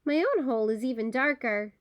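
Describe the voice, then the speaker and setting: An easygoing, squinting little mole played by a woman from a children's storybook. The voice is high and untroubled, rising and falling in an animated way. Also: a little fast, dry recording, close to an everyday voice. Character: easygoing, short-sighted mole who likes the dark.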